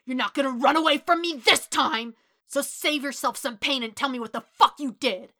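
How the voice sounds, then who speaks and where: A woman's voice: high-pitched, normal speed, background noise, pitched above the performer's own voice. Woman as a furious, snarling teenage bully boy. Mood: furious accusing. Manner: shouting, snarling, venomous. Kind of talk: dramatic fan fiction reading.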